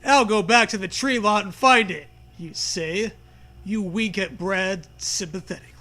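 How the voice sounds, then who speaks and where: A man's voice: high voice, moderate pace, pushed and bright. A man reading a comic storybook aloud, with casual banter mixed in. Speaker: reader of a comic choose-your-own-adventure book.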